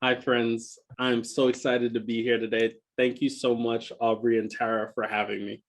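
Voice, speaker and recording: A man's voice: mid-range voice, flat delivery, normal speed. A man in his thirties from Jamaica who grew up partly in Philadelphia, telling his story reflectively as an interview guest, sometimes repeating and correcting himself. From Jamaica, moved to Philadelphia as a child. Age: 30s. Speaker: podcast guest, school enrollment director.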